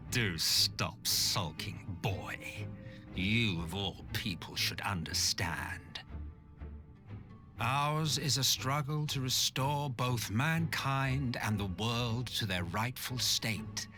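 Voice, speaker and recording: An actor playing an ancient, world-weary sorcerer schemer in a video game, with a theatrical, drawling, condescending and mocking delivery. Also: male voice, medium pitch, takes it slowly. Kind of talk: video game villain acting. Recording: orchestral game music behind.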